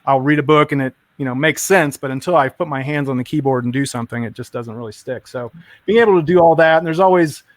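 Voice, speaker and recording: A man's voice: mid-range voice, fast talker, dry recording. A man chatting enthusiastically and rambling a bit on a tech stream, full of fillers. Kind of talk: casual tech chat.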